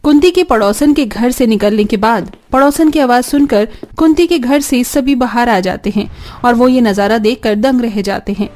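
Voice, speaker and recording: A woman's voice: fairly high pitch, moderate pace, darkish tone. A woman reading dramatic scripted narration for a cartoon family story.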